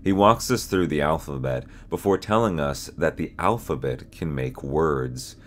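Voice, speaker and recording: Very deep-voiced man narrating ominously. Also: measured pace, slight background noise. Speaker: host and narrator of a horror explainer channel. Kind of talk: scripted narration.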